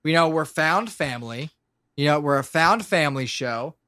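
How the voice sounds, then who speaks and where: A man's voice: fairly high pitch, animated, normal speed, bright, forceful tone, no echo, clean studio sound. A man in his late twenties with an American accent chats casually on a podcast, rambling, swearing and often interrupting himself. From Pennsylvania, US. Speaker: podcast guest, show creator and musician.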